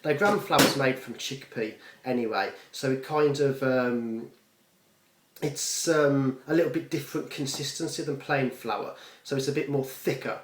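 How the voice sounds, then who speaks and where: A man's voice: mid-range voice, normal speed. A British man giving a casual, unscripted home cooking demonstration, rambling along with offhand, self-deprecating asides. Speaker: home cook presenting a recipe video. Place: home kitchen. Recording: echoey kitchen with frying, clattering noise.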